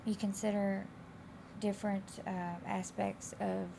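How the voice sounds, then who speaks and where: A woman instructor with a slightly raspy, hoarse voice, explaining coursework in a casual, loosely phrased, conversational way. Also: mid-range voice, flat delivery, a little slow. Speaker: college instructor. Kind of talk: informal explanation of course assignments. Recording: clear background noise.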